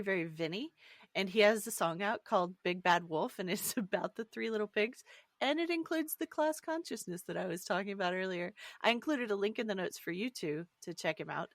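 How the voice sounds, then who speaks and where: A woman's voice: on the low side, brisk, no echo, clean studio sound. A woman co-hosting a casual podcast discussion about stories, with a wry, playful and articulate way of talking. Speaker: podcast co-host.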